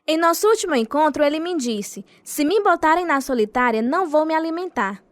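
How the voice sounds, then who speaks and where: High-voiced devoted wife. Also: female voice, expressive, brisk, no echo. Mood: pleading, firm. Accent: Brazilian. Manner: earnest. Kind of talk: dramatized radio testimony narration.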